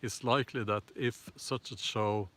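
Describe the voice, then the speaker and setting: A man with a Norwegian accent hosting a casual live chat and answering viewer comments, talking haltingly with many fillers and restarts. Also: lowish voice, fairly even intonation, a bit bright. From Norway.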